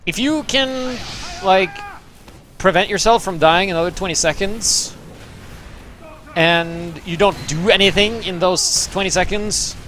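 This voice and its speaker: A man's voice: on the high side, fairly bright tone, slight background noise. A young man from Norway giving loose, profane, sarcastic commentary over gameplay replays as the show's host. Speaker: game commentator.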